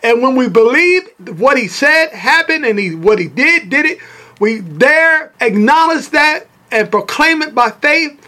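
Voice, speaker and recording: A man's voice: very high voice, lively intonation, a little slow, pushed and bright. A man preaching fervently on his podcast, repeating phrases as he builds his points. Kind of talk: sermon.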